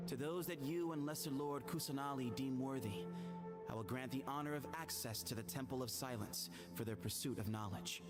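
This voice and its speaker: An actor playing a courteous, dignified young heir to a desert temple in a video game, speaking articulately. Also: male voice, mid-range voice, close to an everyday voice. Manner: articulate, polite storytelling. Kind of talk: scripted video game dialogue. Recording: loud game music behind. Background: game soundtrack music.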